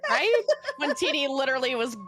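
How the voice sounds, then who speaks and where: A woman's voice: high voice, big pitch swings, a little fast, a bit bright, soft background music. A woman tabletop player chatting casually and jokingly with friends. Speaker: tabletop role-playing player. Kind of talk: casual chat.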